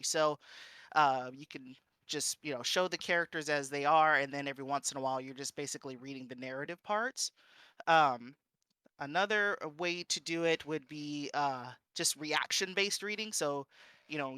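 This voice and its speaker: A man presenting as a streamer in an informal instructional style, halting and often interrupting himself with "you know". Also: a little high, pushed and bright, clean tone, dry recording.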